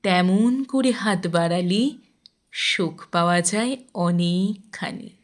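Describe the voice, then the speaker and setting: Slow, measured woman reciting poetry. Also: mid-range voice. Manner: measured, deliberate phrasing with drawn-out line endings. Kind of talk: expressive Bengali poem recitation.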